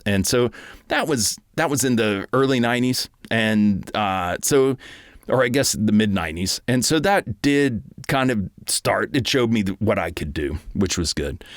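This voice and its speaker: Middle-aged guy chatting in his home booth, lowish voice, dead dry sound, lots of ums. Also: dry recording. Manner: relaxed and rambling, many ums and restarts. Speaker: voice actor. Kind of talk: casual talk to camera. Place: small home recording booth.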